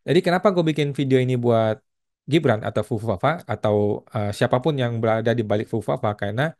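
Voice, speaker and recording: A man's voice: mid-range voice, quick pace, a little mellow. A man in his thirties talking casually to the camera in a vlog, using slang, calling the viewer "bro" and mixing in English phrases. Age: 30s. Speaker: tax channel host.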